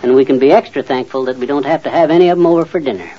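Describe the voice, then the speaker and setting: An actor playing a blustery, folksy, know-it-all middle-aged husband in an old-time radio comedy. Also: male voice, medium pitch, very fast, close to an everyday voice. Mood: grumbling. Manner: folksy, boastful, muttering and sputtering. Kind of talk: 1940s-50s radio comedy acting. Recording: muffled old radio recording.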